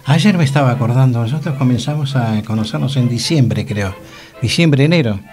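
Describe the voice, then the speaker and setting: A man's voice: medium pitch, fairly quick, darkish tone. A man with a Rioplatense Argentine accent, chatting casually as a radio co-host in a studio. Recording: music playing behind the voice. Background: music.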